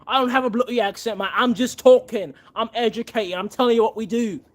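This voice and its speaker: A man's voice: very high voice, quick pace. Man putting on a huffy mock British bloke. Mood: mock indignant. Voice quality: mock British slang, exaggerated indignation.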